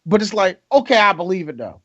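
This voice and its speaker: A man co-hosting a casual podcast, talking fast and loose, swearing freely and laughing between jokes. His voice sits a little high for a man. The audio is dull and lo-fi. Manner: animated, profane, laughs often, jumps into mock voices. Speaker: podcast co-host. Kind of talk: casual movie-review banter.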